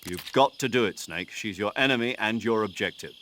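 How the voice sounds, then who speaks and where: A firm mission commander, voiced by a man, briefs his agent over the radio. His voice is low and urgent in a game cutscene. A faint ambient hum lies under him. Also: a little fast, a bit bright, lightly acted.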